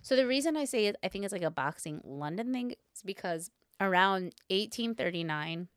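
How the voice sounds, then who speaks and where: A woman's voice: mid-range voice, expressive, fairly quick, clear, resonant tone, dry recording, studio quality. A woman co-hosting a podcast, telling a story in casual, informal talk with lots of "like" and playful asides. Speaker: podcast co-host.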